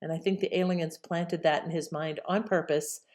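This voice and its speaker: A woman's voice: sits low, steady tone, normal speed, darkish tone. A woman hosting with casual, inquisitive commentary addressed to viewers. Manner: conversational, inquisitive. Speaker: channel host.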